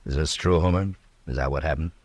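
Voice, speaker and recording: An American actor playing a calm, firm, fair-minded frontier marshal in an old-time radio western, speaking in a laconic, dryly wry way. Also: male voice, rumbling low, quick pace. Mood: calm questioning. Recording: old radio drama, music behind.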